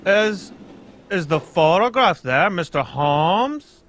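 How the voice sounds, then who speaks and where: A man's voice: high-pitched, animated, slow, a bit bright, exaggerated voice. A man playing a pompous, formal king in an amateur radio drama, speaking with grand emphasis. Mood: anxious, urgent. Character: a pompous, anxious, grateful European king. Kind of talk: amateur old-time radio drama reading.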